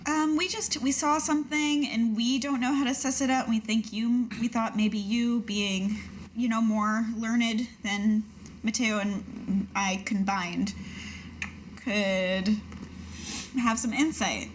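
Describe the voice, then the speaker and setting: Slow-paced woman with a highish voice. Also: steady tone. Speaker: player in a tabletop roleplaying game. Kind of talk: casual in-character improvised chat. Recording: noticeable background noise.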